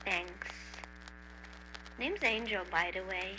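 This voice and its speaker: A woman voicing an aimless, awkward, foul-mouthed young man in an indie animated horror, speaking in a hesitant, deadpan mumble. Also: medium pitch, very slow, music in the background, rough recording. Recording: faint hum and hiss.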